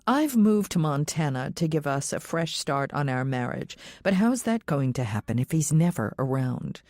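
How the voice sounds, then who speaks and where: Woman as resentful wife, frustrated, pleading, low voice, audiobook dialogue. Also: very animated, moderate pace, a little mellow, no echo, clean studio sound.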